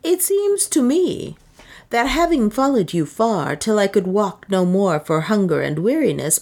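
A woman's voice: mid-range voice, vocal fry, darkish tone, pitched above the performer's own voice, heavily acted. A woman voicing a proud, bitter serving woman in an audiobook, with solemn, archaic, declamatory phrasing.

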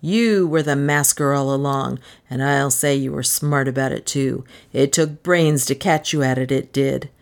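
A woman voicing a big, suspicious, self-satisfied policeman with a gruff, folksy way of talking in a storybook. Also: low voice, steady tone, a little slow, pitched below the performer's own voice. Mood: smug, gloating. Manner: folksy, colloquial, gloating.

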